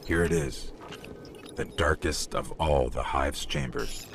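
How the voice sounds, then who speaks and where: Low, creaky, wry robot companion. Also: male voice, big pitch swings, slow, background noise, full character voice. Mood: grave, awed. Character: small AI robot companion, wry, inquisitive scout. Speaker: guide companion. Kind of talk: cinematic video game voice acting.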